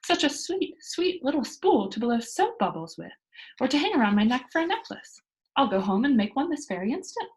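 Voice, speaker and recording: A woman's voice: sits high, sing-song, brisk, voice pushed up, poor audio. A woman voicing a bold, cheerful young girl adventurer from a children's storybook, with a breezy, boastful manner. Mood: delighted. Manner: breezy, boastful, matter-of-fact. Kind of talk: children's storybook character read aloud.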